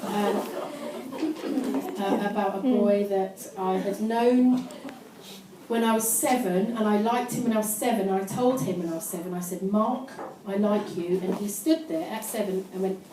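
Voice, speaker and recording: A woman gives a self-mocking, deadpan comic reading of teenage writing to a live audience. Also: mid-range voice, normal speed. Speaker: amateur performer reading her teenage diary on stage. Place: indoor live show in London, audience laughing behind. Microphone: distant phone camera in the audience. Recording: echoey, noisy live venue recorded from far off.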